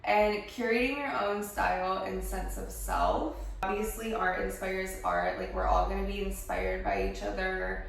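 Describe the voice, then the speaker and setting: A young woman vlogging from her home studio, a little hoarse from a head cold, chatting casually with lots of fillers. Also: medium pitch, big pitch swings, measured pace, hardly any pauses, far from the mic, slight background noise. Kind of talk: casual chat to camera. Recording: echoey room, voice off the mic.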